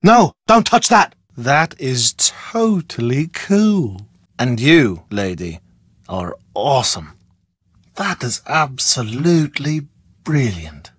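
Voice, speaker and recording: An actress voicing an excitable, slangy woman adventurer in a mystery video game, with an emphatic delivery. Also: extremely low pitch, slow, husky, no room sound, clean studio sound, heavily acted. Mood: awed, emphatic. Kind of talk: video game character dialogue.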